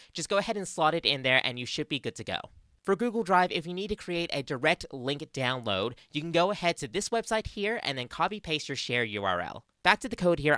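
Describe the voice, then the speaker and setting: A woman's voice: sits low, pushed and bright, no echo. A young adult woman with an Australian accent presenting a scripted tutorial into a close studio mic. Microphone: close studio mic on boom arm.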